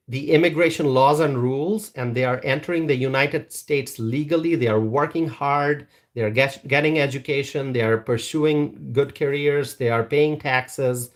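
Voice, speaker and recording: Earnest man chatting to viewers. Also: medium pitch. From Bangladesh. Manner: earnest, repeats words, many ums and uhs. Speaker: YouTube channel host. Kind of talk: casual livestream chat reading viewer comments. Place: Wisconsin.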